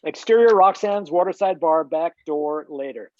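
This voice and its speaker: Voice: mid-range voice, expressive, moderate pace, a little mellow. A narrator reading screenplay stage directions in a scripted style over a video call. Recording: dull video-call audio.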